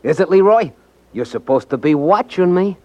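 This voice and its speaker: An American actor playing a suspicious, jumpy, slangy small-time crook in a 1950s radio crime drama. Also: male voice, high voice, animated, moderate pace, darkish tone. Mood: wary. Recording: dull 1950s radio recording.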